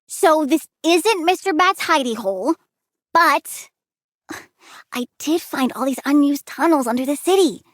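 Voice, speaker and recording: A woman's voice: high voice, expressive, unhurried, a bit bright, dry recording, studio quality. A woman voicing a childlike young plant-controlling villain girl in a video game, perky, precocious and sassy, eager and cheerfully menacing. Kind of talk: video game character voice lines.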